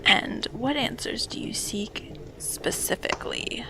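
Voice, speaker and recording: Woman acting seductive witch, sly and teasing, high gravelly-edged whispery voice, fantasy radio drama. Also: slow, pushed and bright, exaggerated voice, rough recording. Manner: teasing, breathy whispering delivery. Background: music.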